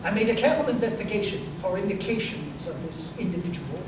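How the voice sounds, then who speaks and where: An actor playing an incisive, self-assured detective in a live 1930s-style radio drama, speaking precisely and emphatically. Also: male voice, sits high, animated, fast. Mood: analytical. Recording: distant, echoey live stage recording, noisy room.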